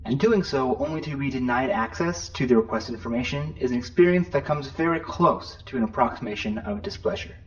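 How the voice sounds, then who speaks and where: A man's voice: mid-range voice, brisk, few pauses, soft background music, natural voice. A man playing a prim, officious ship's computer in a science fiction audio drama, formal, pedantic and coolly sarcastic. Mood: officious, displeased. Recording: dull, band-limited computer sound.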